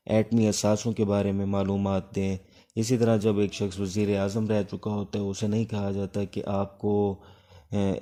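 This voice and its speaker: A man's voice: fairly low pitch, warm tone. A Pakistani man giving an instructive, exam-focused law lecture, repeating key points as he explains and dictates. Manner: instructive, repeats key points, switches between Urdu and English.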